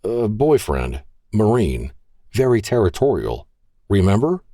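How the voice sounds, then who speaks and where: A guarded young man delivering dry, deadpan teasing in slow, clipped bits, voiced by a narrator. His voice is low, husky and dry. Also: no room sound.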